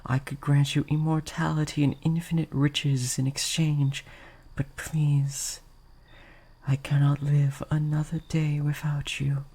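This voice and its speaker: A breathy, mellow vampire of medium pitch, acted out by a performer for an intimate audio roleplay. The voice is soft and often sinks toward a whisper. The phrasing is courtly and hesitant, gentle yet possessive and lovesick. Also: little pitch movement, a little slow. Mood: pleading, devoted. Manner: formal, old-fashioned, hesitant, earnest.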